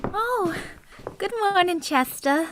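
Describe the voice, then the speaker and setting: A woman's voice: very high voice, animated, slow pace, background noise, heavily acted. A woman playing a coy, teasing young woman. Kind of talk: vintage radio play acting.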